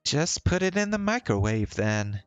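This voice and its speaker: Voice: medium pitch, sing-song, unhurried, clean tone, voice pushed up. A streamer voicing a giddy, mock-dramatic young woman from a visual novel. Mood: petulant. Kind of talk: visual novel character read-aloud. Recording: roomy sound, faint music behind.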